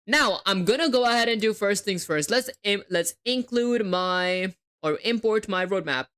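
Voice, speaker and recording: A woman's voice: mid-range voice, moderate pace, a bit bright, clear, resonant tone. A young woman with a hard-to-place hybrid accent, live coding and thinking aloud, spelling words letter by letter and chatting with viewers. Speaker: livestreaming coder.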